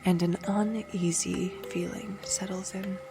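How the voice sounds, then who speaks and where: Woman as a hushed undead warrior, little pitch movement. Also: flat delivery, unhurried, close to an everyday voice. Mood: haunted dread. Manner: hushed, solemn, dramatic. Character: anguished undead warrior, haunted, bitter, solemn. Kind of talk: first-person audio drama narration. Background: music.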